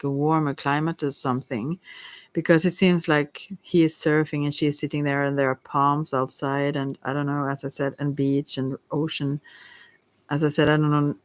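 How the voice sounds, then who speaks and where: A tarot card reader talking informally to listeners in a halting, rambling way, often stumbling over words. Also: medium pitch, fairly even intonation.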